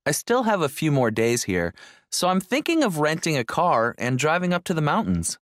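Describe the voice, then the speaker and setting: A man's voice: on the high side, wildly swooping pitch, moderate pace, a bit bright, no room sound, studio quality. A man playing an enthusiastic visitor in scripted small talk for a language listening exercise. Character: enthusiastic male visitor enjoying his trip.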